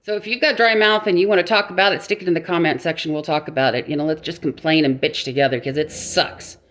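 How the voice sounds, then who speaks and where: A woman's voice: low voice, fairly even intonation, fast, a bit bright. A middle-aged woman vlogging casually, rambling and joking at herself, talking with a dry mouth that makes speaking hard. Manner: rambling, self-mocking, with frequent restarts and laughs. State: dry mouth, struggling to talk. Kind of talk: casual vlog monologue.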